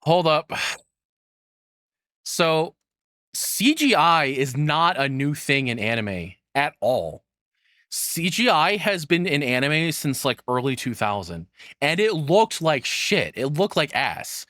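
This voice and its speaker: A man's voice: fairly high pitch, sing-song, takes it slowly, a bit bright, no echo. Casual, profane man in a dry close recording. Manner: informal, profane, opinionated. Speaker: streamer reacting to a video. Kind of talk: casual rambling reaction commentary.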